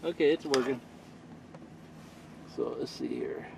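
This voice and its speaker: A man giving a casual hands-on tutorial, talking haltingly as if thinking aloud. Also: mid-range voice. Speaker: host of a beginner radio tutorial. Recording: loud steady hum and hiss.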